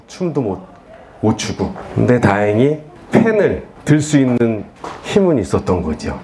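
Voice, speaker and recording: A man's voice: lowish voice, a little mellow, slight background noise. A man in his forties, an author giving a talk to an audience, speaking earnestly and in a self-deprecating, confiding way. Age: 40s. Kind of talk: lecture to an audience. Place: lecture room at a book event.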